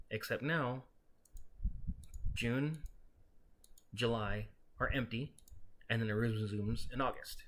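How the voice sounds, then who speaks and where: A man with a medium-pitched voice walks through a piece of software as a tutorial presenter. He explains each step in a conversational, instructional manner, speaking directly and casually to the person following along.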